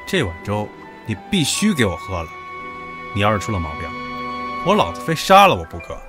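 An actor playing a brash, rude young heir who speaks in a brusque, commanding way. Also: male voice, fairly low pitch, huge pitch swings, a little fast. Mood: bossy. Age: young adult. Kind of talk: radio drama acting. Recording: background music.

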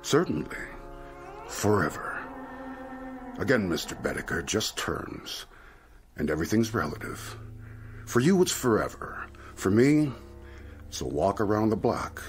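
Silky, animated devil salesman. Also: on the low side, soft background music. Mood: grandly tempting. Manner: silky, coaxing, theatrically drawn-out phrasing. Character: suave devil, a wheedling genteel salesman of bargains. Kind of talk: radio drama acting.

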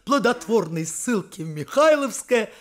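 A woman's voice: a little high, expressive, unhurried. An actress playing a stout schoolteacher who speaks in a formal, declamatory way to her class. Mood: solemn. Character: stout schoolteacher Marya Ivanovna, solemn and proper. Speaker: teacher.

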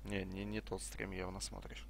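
Creaky low-voiced young man arguing in game chat. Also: completely flat delivery, normal speed. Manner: argumentative, swears often. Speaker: team player in online shooter match. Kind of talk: casual gaming voice chat.

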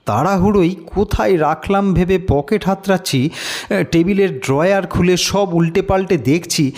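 A man narrating a scripted horror story in a dramatic, measured, suspenseful way. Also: high voice, darkish tone.

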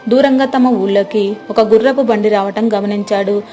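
A woman's voice: mid-range voice, steady tone, moderate pace, dark tone. A woman reading a scripted story as a storyteller. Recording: music behind the voice. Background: background music.

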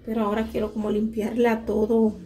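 A woman's voice: fairly high pitch, monotone, a little fast, dark tone, a little hiss or hum. A woman in her thirties chatting candidly to a handheld phone camera while doing chores at home, her throat dry and sore. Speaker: home vlogger. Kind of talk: casual chat to camera. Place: small home, indoors. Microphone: handheld phone camera at arm's length. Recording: music in the background.

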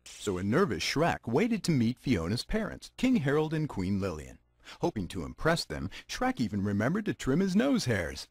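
A wry fairy-tale narrator for a video game. The husky voice is theatrical, with big swings in pitch and a dry, close sound. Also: male voice, no room sound, heavily acted. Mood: mock-grand. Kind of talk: scripted storybook narration.

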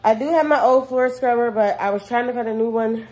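A woman's voice: fairly high pitch, a little fast, a little mellow. A young woman, a stay-at-home mom, chatting casually to her phone camera in her living room, rambling with lots of "um" and "like". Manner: rambling, chatty, many fillers like "um" and "like". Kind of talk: casual clean-with-me vlog. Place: apartment living room, indoors. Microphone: phone camera at arm's length.

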